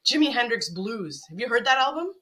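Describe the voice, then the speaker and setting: Casting note: woman singer and guest, bright tone, lively storyteller. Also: fairly high pitch, brisk. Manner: chatty, animated storytelling. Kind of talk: casual interview chat.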